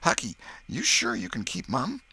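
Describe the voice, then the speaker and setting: A man's voice: medium pitch, huge pitch swings, moderate pace, pushed and bright, breathy edge, pitched above the performer's own voice, heavily acted. A man voicing an anxious, thoughtful young village boy in an audiobook, asking questions in urgent rustic speech. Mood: earnest.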